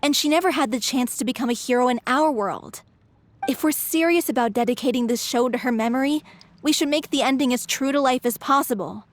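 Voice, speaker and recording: A woman's voice: high voice, fairly quick, a little hiss or hum, lightly acted. Woman playing a grieving troupe member. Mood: grim. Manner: serious, insistent. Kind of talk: game voice acting.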